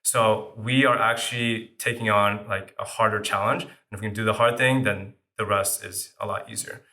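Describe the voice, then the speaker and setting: A startup founder who grew up speaking French, answering interview questions in a casual way with many fillers. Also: male voice, fairly low pitch, fairly even intonation, moderate pace, sharp tone.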